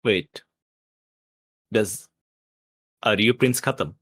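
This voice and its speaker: A man playing a put-upon crew member who grumbles with deadpan exasperation. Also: fairly low pitch, extremely slow, exaggerated voice. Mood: puzzled.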